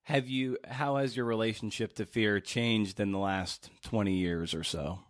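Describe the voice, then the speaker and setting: A man's voice: on the low side, normal speed, dry recording, clean studio sound. A man hosting a podcast in an informal, earnest, conversational way. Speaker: podcast host, interviewer.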